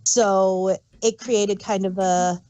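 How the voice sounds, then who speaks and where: A woman's voice: medium pitch, fairly even intonation, slow, mellow, dry recording. A woman co-hosting a podcast, casually sharing her opinions on a TV show. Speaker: podcast co-host.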